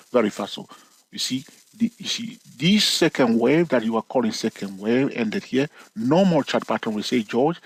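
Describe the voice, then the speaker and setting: Husky man teaching, checking in often. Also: low-quality recording. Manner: talks to viewers directly with frequent "okay?" and "all right?" checks; playful, teasing, with sudden rises in pitch for emphasis. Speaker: trading educator. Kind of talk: casual screen-share chart lesson.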